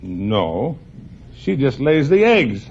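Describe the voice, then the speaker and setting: A man's voice: medium pitch, sing-song, slow, slightly rough, lo-fi sound. A man answering a quiz host as a contestant, plainly, briefly and a bit hesitantly, on an old radio broadcast.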